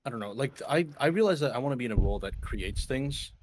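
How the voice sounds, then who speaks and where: A man's voice: medium pitch, expressive, quick pace, a little mellow. A man in his twenties chatting casually with friends, loose and slangy, with some swearing. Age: 20s. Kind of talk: casual chat among friends.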